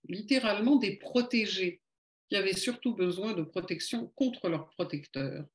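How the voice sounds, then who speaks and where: A woman's voice: mid-range voice, a little mellow. A woman giving a polemical lecture at an evening meeting, with sardonic, ironic asides.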